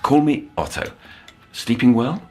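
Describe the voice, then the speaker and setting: An actor playing a polite, probing counsellor to troubled children in an audio drama, formal and coaxing, choosing words precisely. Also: male voice, medium pitch, expressive, a little slow, a little mellow, soft background music, close to an everyday voice. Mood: probing, solicitous. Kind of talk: scripted audio drama acting.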